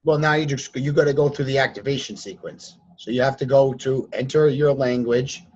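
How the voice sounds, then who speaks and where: Hoarse man with laryngitis, dull call audio. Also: mid-range voice, a little fast, a little mellow. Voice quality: husky, strained. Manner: blunt, lecturing. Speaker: course teacher hosting a live group call. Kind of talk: casual coaching chat. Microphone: online call. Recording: dull lo-fi sound.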